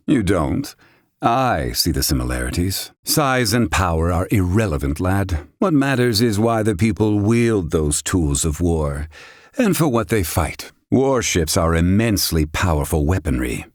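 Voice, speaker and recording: A man voicing a proud, erudite older mage for a video game, gruff but kindly, speaking in formal, wordy phrasing. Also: deep voice, no echo, studio quality. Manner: formal, wordy, archaic phrasing with clipped questioning tags. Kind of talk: English-dub video game voice acting.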